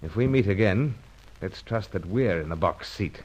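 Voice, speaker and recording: An actor playing a gentlemanly British secret agent in an old radio adventure serial, clipped and commanding. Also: male voice, sits low, animated, moderate pace, highs cut off, a little hiss or hum. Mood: hushed, brisk. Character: calm heroic British secret agent, leader and narrator.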